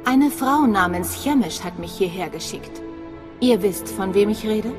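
Eine Schauspielerin als kühle, selbstbewusste Geheimagentin in einem Videospiel, knapp und selbstsicher im Ton. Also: female voice, mid-range voice, lively intonation, a little slow, lightly acted. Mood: determined. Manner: self-assured, clipped. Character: cool, confident female undercover imperial agent. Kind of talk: dramatic video game dialogue in German. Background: background music.

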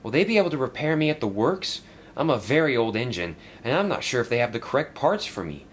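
Brief: man voicing an old worried storybook steam engine, pitched high, lively. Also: fast talker, a little hiss or hum, lightly acted. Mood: earnest. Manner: anxious, earnest.

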